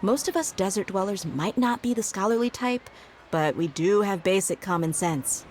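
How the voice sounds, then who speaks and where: An actress playing a tough, loyal young bodyguard in a video game, with a blunt, casual way of talking. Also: female voice, medium pitch, expressive, normal speed, darkish tone, slight background noise, lightly acted. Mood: skeptical.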